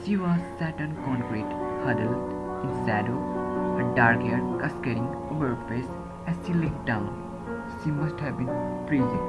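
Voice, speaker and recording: A narrator reading a scripted horror story. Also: mid-range voice. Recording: muffled lo-fi under loud music. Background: loud music.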